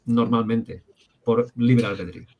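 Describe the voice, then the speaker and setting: Host, man, mid-range voice, halting start, asks simple questions. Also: mid-range voice, steady tone, darkish tone. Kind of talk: interview questions.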